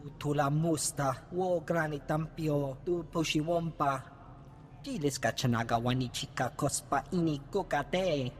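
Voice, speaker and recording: A man as an alien lookout, highish and echoing. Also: measured pace, a little hiss or hum, lightly acted. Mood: guarded, agitated. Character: alien male cantina lookout speaking invented gibberish tongue. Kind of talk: video game voice acting.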